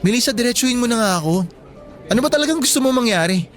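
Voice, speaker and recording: A resentful young student, guarded and curt with his ex in a radio drama. His voice is high for a male and moves quickly, over faint music. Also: animated. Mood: firm, guarded. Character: hurt, guarded teenage student ex-boyfriend, Benson. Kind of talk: radio drama acting in Filipino.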